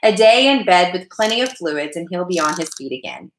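A woman's voice: lowish voice, animated, brisk, echoey room, slight background noise, lightly acted, poor audio. A woman voicing a concerned, caring mother in a children's storybook. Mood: reassuring. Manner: anxious, caring.

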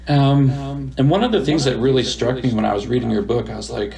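A man's voice: medium pitch, a little fast, vocal fry, mellow, rough recording. A man hosting a podcast, a psychologist, asking questions in a reflective way and searching for words. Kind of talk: casual interview talk.

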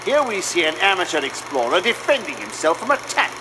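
An actor playing a pompous British gentleman explorer, theatrically narrating his jungle film like an old-fashioned documentary. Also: sits high, sing-song, a little fast. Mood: pompous, self-important. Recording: noisy old film reel with projector hum.

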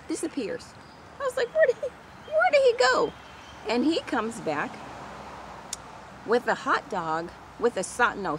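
A woman's voice: a little high, fairly bright tone. A middle-aged woman vlogger telling a personal story casually to a camera outdoors. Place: outdoors in a home garden. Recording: clear outdoor ambient noise.

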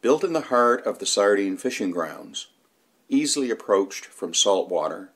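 A man's voice: low-pitched, breathy edge. A man reading scripted documentary narration, including old newspaper reports.

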